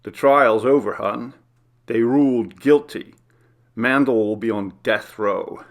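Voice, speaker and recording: A man's voice: medium pitch, slow pace. A man voicing an affectionate, easygoing husband and father in a storybook reading. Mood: serious.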